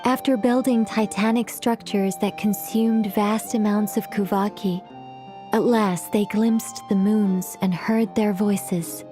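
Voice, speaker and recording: A woman's voice: medium pitch, fairly even intonation, takes it slowly, mellow, soft background music, lightly acted. An actress playing an eccentric, absent-minded female mage in a video game, explaining at length in a scholarly, wordy manner. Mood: solemn narrating. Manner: long-winded explaining, flustered when interrupted.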